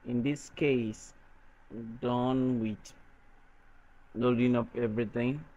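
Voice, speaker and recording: A man presenting an unscripted software tutorial in a halting, hesitant way. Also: mid-range voice, steady tone. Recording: dull lo-fi microphone sound.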